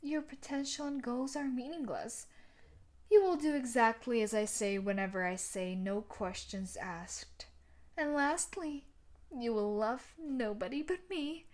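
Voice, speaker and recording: A woman's voice: on the high side, measured pace, natural voice. A young woman playing a sadistic, possessive female yandere bully in a roleplay audio drama, with a taunting, domineering manner. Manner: taunting, domineering, with breathy sighs and soft laughs. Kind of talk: roleplay audio drama monologue addressed to the listener.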